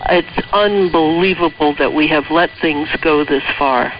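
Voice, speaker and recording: A man speaking as a radio interview guest, giving opinions emphatically and pausing mid-thought. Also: fairly high pitch, a little hiss or hum. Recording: dull lo-fi shortwave radio relay.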